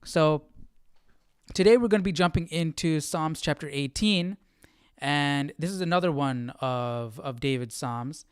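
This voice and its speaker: A man hosting an informal Bible study, teaching casually and thinking aloud with halting, repeated phrases. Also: on the high side, clean tone, dry recording, clean studio sound. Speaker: host of a Bible study live stream.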